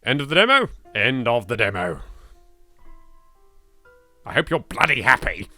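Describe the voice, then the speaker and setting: English male gamer host, theatrical and exasperated, lively pitch swings, forceful tone, faint music behind. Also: unhurried, dry recording. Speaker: gaming video host. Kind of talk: let's play commentary with dramatic reading aloud.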